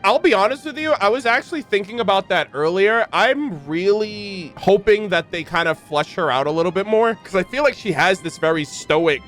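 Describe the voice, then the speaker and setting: Gaming reaction host, male, high bright voice, casual and expressive, slangy delivery, music low underneath. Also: moderate pace, hardly any pauses.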